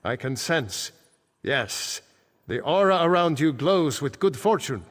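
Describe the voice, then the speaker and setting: An actor playing a solemn ancient archmage back from death, speaking gravely and formally in fantasy video game dialogue. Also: male voice, fairly high pitch, big pitch swings, slow, full character voice. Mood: solemn, urgent. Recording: echoing game hall with long reverb.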